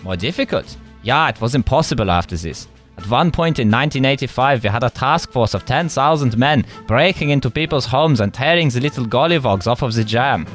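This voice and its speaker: A man playing a retired male official, recounting his old job in a deadpan, matter-of-fact way over music. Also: medium pitch, fairly quick, lightly acted.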